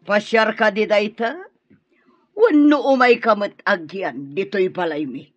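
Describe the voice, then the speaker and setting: A woman's voice: medium pitch, a little slow, dry recording, highs cut off, soft background music, lightly acted. An actress playing a harsh, scornful middle-aged mother-in-law in an Ilocano radio drama, scolding and demanding with an Ilocano accent. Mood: cold, sarcastic.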